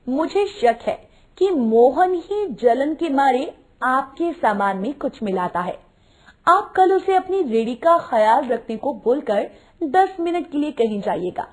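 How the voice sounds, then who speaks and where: A woman's voice: high-pitched, big pitch swings, takes it slowly, exaggerated voice. A woman voicing a shrewd, suspicious village wife with a firm, confiding manner in a moral story. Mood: suspicious, determined. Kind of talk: dramatised moral story acting.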